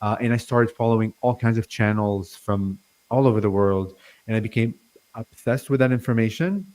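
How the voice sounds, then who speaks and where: Halting Lebanese-born man in his forties. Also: lowish voice, a little mellow. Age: 40s. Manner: halting, many fillers and restarts, stutters. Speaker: guest channeler. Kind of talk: casual interview talk.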